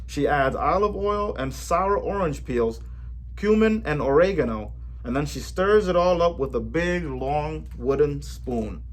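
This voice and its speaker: A man reading a children's picture book aloud in a gentle, measured way, with an occasional small slip. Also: fairly high pitch, expressive. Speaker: storybook reader for a library.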